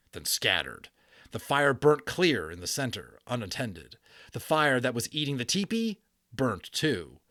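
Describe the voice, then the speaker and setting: A man with a mid-pitched voice gives a dramatic storybook narration. He leans on key words theatrically, like a keen amateur storyteller. The sound is dry, with little room in it. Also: fairly bright tone, no echo. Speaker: audiobook narrator.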